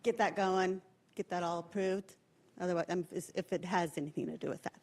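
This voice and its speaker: A woman's voice: lowish voice, fairly even intonation, fairly quick, a little mellow. A woman from permit staff giving informal advice, with frequent fillers.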